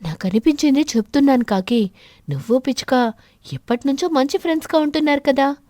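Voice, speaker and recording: A woman voicing a sly, thieving pigeon in an animated fable, insinuating and defensive while feigning innocence. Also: high voice, fairly quick, dry recording, clean studio sound.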